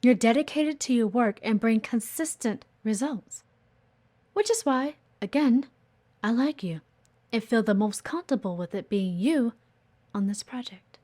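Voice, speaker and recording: A woman's voice: fairly high pitch, measured pace, warm tone. A woman playing a blunt, guarded but earnest executive assistant in an audio roleplay, assertive and exasperated. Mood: earnest, admiring. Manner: exasperated, assertive, frequent sighs. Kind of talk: audio roleplay acting addressed to the listener.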